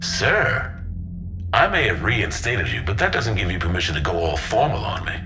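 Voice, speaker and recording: Senior officer, middle-aged, low and gruff, warm yet war-weary, clear ambient noise behind. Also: male voice, wildly swooping pitch, moderate pace. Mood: warm, wry. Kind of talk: cinematic game dialogue.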